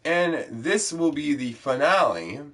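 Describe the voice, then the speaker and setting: A man's voice: a little high, big pitch swings, measured pace, a bit bright. A young man, congested from a cold, chatting loosely and rambling through an intro to his game stream. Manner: chatty, loose, many fillers. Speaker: gaming vlogger.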